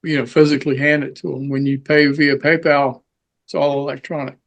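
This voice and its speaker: A man's voice: on the high side, little pitch movement, fairly quick, vocal fry, highs cut off. A man in casual online meeting chat, explaining something in a hesitant way. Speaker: club member explaining payment.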